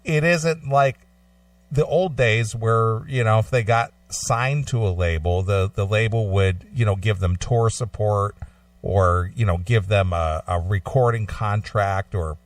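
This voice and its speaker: Lowish-voiced man hosting radio. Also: a little slow, dry recording, distant mic. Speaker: radio show host. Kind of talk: conversational radio interview.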